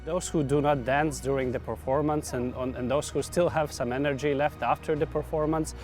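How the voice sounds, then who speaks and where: Man talking outdoors over clear music. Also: fairly high pitch, fairly even intonation, normal speed. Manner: hesitant, repeating words. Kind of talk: interview. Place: outdoor park.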